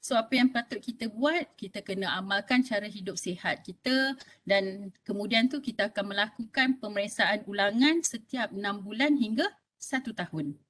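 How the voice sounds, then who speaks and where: A woman's voice: mid-range voice, moderate pace, fairly bright tone. A woman in her fifties with a Malaysian Malay accent, giving a health talk to an audience in a conversational way and often checking in with "okey?". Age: 50s. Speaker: health talk speaker, doctor.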